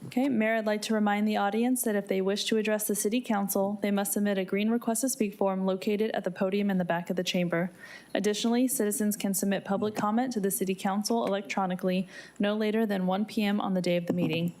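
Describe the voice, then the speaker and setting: A woman's voice: mid-range voice, steady tone, fast, a little mellow. Woman reading formal official announcements. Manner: procedural, official read-aloud delivery. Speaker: city clerk reading meeting announcements.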